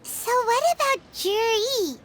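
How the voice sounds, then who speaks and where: Squeaky, very high childlike fairy girl. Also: animated, slow, fairly bright tone, heavily acted. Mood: curious. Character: small childlike female fairy companion, eager and curious. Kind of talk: video game voice acting. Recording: background music over the voice. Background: music.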